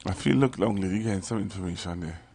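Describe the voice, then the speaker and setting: A man with a Trinidadian accent hosting a casual live radio interview, teasing and animated in dialect. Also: deep voice, quick pace, creaky, slightly rough, no echo. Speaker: radio show host.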